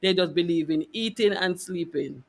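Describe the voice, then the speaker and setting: A woman's voice: lowish voice, moderate pace, gravelly. Woman hosting a radio interview. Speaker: host of a faith and finance radio show. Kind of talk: conversational interview.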